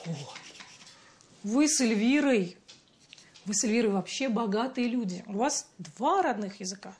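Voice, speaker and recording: An actress playing a patient bilingual mum. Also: female voice, a little high, lively intonation, normal speed, close to an everyday voice. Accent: Russian. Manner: gentle, explaining, playful. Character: bilingual mother, patient, fond of teaching. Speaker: mother talking with her child. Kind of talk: television sitcom acting.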